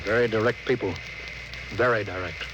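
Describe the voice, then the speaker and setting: A man playing a grimly resolute starship commander in a 1950s radio drama, authoritative and deliberate. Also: on the low side, steady tone, dull audio, lightly acted. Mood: stern, pressing. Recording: noisy old 1950s radio recording.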